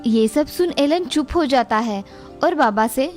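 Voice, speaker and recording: Very high-pitched woman storyteller. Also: animated, a little slow, a little mellow, faint music behind. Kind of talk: scripted children's story narration.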